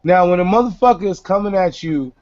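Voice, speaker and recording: Animated young man from New on a call. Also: brisk, no room sound. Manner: animated and profane, swings between low and high voice. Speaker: host. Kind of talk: casual chat on a video call. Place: small home room indoors. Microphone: headset on a video call. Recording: lo-fi video call audio.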